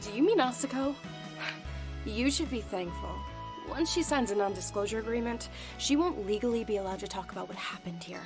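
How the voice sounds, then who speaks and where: An actress voicing a firm, serious captain of an investigation agency in a visual novel, with an assertive manner. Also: female voice, medium pitch, brisk, close to an everyday voice. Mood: wry, firm. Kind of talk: English visual novel voice acting. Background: music.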